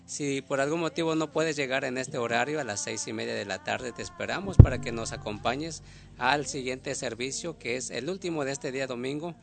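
Gravelly Mexican-born radio host man. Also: medium pitch, brisk. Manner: repetitive, devotional. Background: music.

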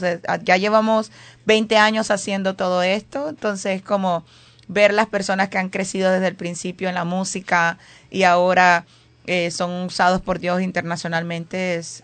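A woman from Panama, a guest on a radio show, talking softly and laughing easily in casual chat. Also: fairly low pitch, little pitch movement, normal speed.

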